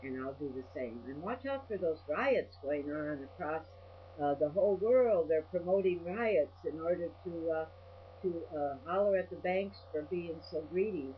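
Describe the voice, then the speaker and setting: A woman's voice: on the low side, lively intonation, normal speed. An older woman delivers a rambling, personal monologue as a video blogger. She is blunt and salty, given to ranting. The recording is dull and lo-fi, with a faint electrical hum behind her. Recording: dull lo-fi audio with mains hum.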